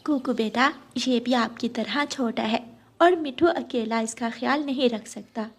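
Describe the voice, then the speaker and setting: A woman voicing a kind, motherly sparrow with a gentle, reassuring manner in a children's cartoon. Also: fairly high pitch, a little slow. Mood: gentle, explaining. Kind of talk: children's cartoon story dubbing.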